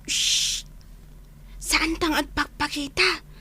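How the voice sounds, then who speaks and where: A woman's voice: high voice, fairly even intonation, slow pace, background noise. A woman playing a bossy, conspiring child and tattletale in an Ilocano radio comedy drama. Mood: sneaky.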